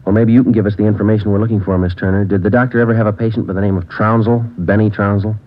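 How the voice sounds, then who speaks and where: Deadpan cop sergeant played by a man, very low clipped voice, dull old radio sound. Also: very fast, close to an everyday voice. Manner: clipped, flat, matter-of-fact. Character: terse, deadpan police sergeant, also narrating. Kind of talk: 1950s radio drama acting.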